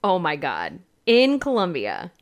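A woman's voice: on the low side, wildly swooping pitch, unhurried. A woman co-hosting a podcast in a casual chat, frank and sassy, saying "like" a lot. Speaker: podcast co-host.

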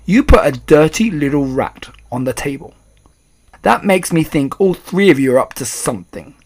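A man's voice: a little high, expressive, moderate pace. A man voicing an angry, blustering middle-aged English father in a storybook, rude and spluttering. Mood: angry, suspicious. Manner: rude, shouting, spluttering, stammering when shocked.

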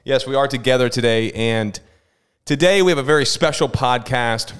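A man hosting a church podcast as a pastor, chatty and quick, often stumbling and restarting mid-thought. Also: mid-range voice, a bit bright, clean tone.

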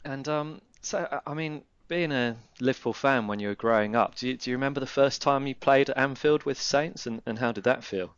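A man's voice: medium pitch, a little fast, hardly any pauses, smooth, no room sound, highs cut off. A man interviewing a guest, asking long rambling questions in a chatty way. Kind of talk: casual interview.

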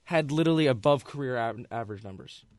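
Young man, mid-pitched voice, casual sports talk, emphatic, dry recording. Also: lively intonation, no room sound. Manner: emphatic, quick to argue. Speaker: co-host, Mets fan.

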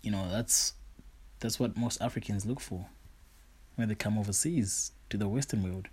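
A man's voice: lowish voice, moderate pace, husky. A young man from Zimbabwe hosting his own show, talking casually about his life with lots of fillers. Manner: relaxed, rambling, frequent fillers like "you know". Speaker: podcast host. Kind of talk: casual personal monologue.

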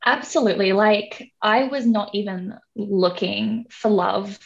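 A woman's voice: mid-range voice, steady tone, measured pace, creaky, muffled recording. A young woman with a Hong Kong English accent, chatting as an interview guest, giggling often and saying "like" a lot. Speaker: podcast guest. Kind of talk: casual interview chat.

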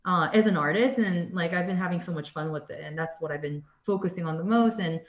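A woman's voice: fairly low pitch, fairly quick. Young american woman just chatting, lots of likes and ums, bit of room echo. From United States. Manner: rambling, lots of "like" and "um" fillers, laughs often. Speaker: guest artist. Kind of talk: casual interview chat. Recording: echoey room.

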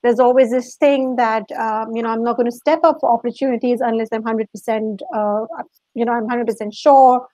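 A woman's voice: a little high, steady tone, moderate pace, warm tone, no room sound. A woman from Pakistan answering interview questions as a guest coach, explaining things at length.

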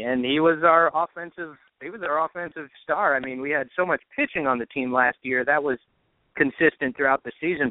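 A man's voice: medium pitch, dry recording. Man on a phone-like line, talking baseball. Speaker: baseball play-by-play announcer, podcast guest. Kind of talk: casual sports interview chat.

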